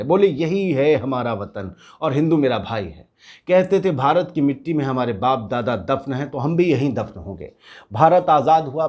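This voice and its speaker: A man's voice: on the high side, darkish tone, natural voice. A man acting as a cocky, cynical street agitator in a satirical drama, with brash, boastful, slangy talk that turns to menace. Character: cocky, cynical street agitator paid to stir religious hatred. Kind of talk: scripted satirical dialogue in street Hindi.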